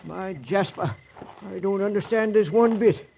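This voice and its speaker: Casting: excitable elderly rustic, high voice, rural American, stammering, old noisy radio sound. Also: male voice, unhurried, creaky, highs cut off. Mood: flustered, folksy. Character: folksy, flustered old man running a country resort. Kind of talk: 1940s radio drama acting. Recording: noisy vintage radio recording.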